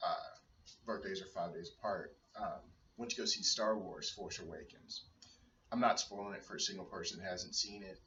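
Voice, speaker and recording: Man, thirties, very deep voice, casual home vlog, halting with lots of ums, echoey room, off-mic, dull lo-fi sound. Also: bright, forceful tone, a little hiss or hum. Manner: rambling, hesitant with many fillers and restarts. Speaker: vlogger and father. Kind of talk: casual home video blog. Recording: roomy, distant, dull low-fi sound.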